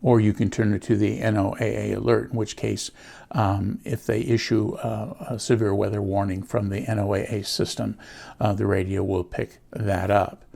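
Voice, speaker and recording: An American man giving a casual product walkthrough, explaining things in an unhurried, plain-spoken way. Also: on the low side, little pitch movement, gravelly, dark tone. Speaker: host of a gadget review channel.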